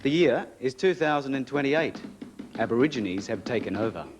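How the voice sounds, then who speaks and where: A man's voice: medium pitch, lively intonation, moderate pace, noisy background, close to an everyday voice. A man reading scripted, scene-setting narration for a radio play.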